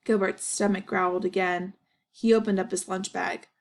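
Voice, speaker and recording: A woman's voice: mid-range voice, level tone, moderate pace, creaky. A woman reading a children's story aloud as narration, with little asides to the listeners.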